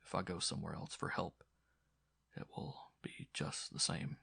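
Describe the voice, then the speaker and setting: A poor tramp in a dark story, played by a male narrator, downtrodden and earnest. His voice has a gravelly grain and is recorded dry and close. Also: low voice, fairly even intonation, normal speed, dry recording, in a whisper, heavily acted. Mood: hushed, brooding. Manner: murmured brooding thoughts or urgent calls. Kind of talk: audiobook horror story acting.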